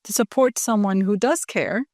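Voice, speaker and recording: Woman, interview guest, earnest, quick explanations, dry close sound. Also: mid-range voice, a little mellow, no echo. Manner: fast, earnest, explains with examples. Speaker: guest educator. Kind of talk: conversational interview.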